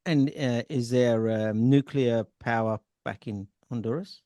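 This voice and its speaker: A man's voice: medium pitch, unhurried, mellow, no room sound, clean studio sound. A man hosting an interview podcast, introducing and questioning in a presenter's style. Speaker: podcast host and founder.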